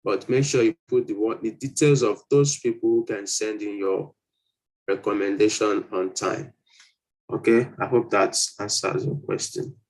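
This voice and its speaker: A man's voice: lowish voice, steady tone, moderate pace, a little mellow, low-quality recording. A man hosting an informal question-and-answer session, explaining things and often rephrasing his points. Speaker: host answering applicants' questions. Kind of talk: informal live Q&A, reading questions aloud and advising.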